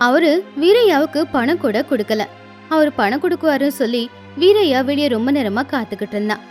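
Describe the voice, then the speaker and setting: Lady narrating a storybook tale, pretty high voice, bit of music under it. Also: expressive, fairly quick.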